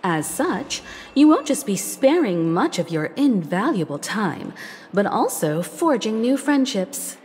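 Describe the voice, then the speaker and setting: An actress voicing a poised, shrewd young woman navigator in a video game, courteous, measured and persuasive. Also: medium pitch, big pitch swings, a little mellow, in a large hall, a little hiss or hum.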